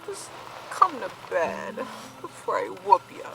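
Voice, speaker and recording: A woman's voice: fairly low pitch, huge pitch swings, measured pace, creaky, sharp tone, faint music behind, full character voice, poor audio. An actress playing a fond wife who teases her husband in a radio drama. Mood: playful. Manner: playful teasing. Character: teasing wife, playful and fond.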